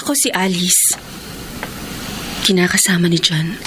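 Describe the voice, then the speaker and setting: A woman's voice: fairly low pitch, unhurried, noisy background, close to an everyday voice. A woman acting a loving, self-sacrificing, heartbroken girlfriend in a sentimental radio drama, earnest, tender and plaintive. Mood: subdued. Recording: radio drama with music underneath.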